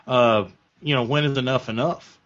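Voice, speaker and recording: A man's voice: mid-range voice, fairly even intonation, normal speed, fairly bright tone, muffled recording. A man of forty co-hosting a casual talk show, chatting reflectively and poking fun at himself. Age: 40s. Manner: reflective, chatty, self-deprecating. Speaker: podcast co-host. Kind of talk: casual panel chat about collecting.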